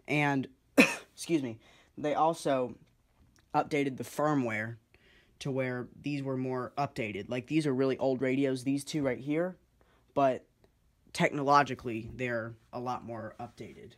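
A man's voice: medium pitch, fairly even intonation, measured pace, fairly bright tone. A man giving a casual, unscripted demonstration in an informal, explanatory way. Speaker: hobbyist video creator demonstrating two-way radios. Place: indoors, kitchen-like room with countertop.

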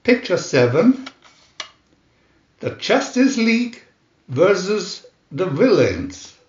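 A man reading scripted children's narration like an announcer, with a deliberate, dramatic delivery. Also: high-pitched, sing-song. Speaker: booklet reader and channel host. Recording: roomy echo, dull lo-fi sound.

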